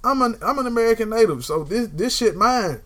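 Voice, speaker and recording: A man's voice: extremely high pitch, animated, brisk, a bit bright. A young man in casual conversation, talking emphatically and repeating phrases to drive a point home. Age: young adult.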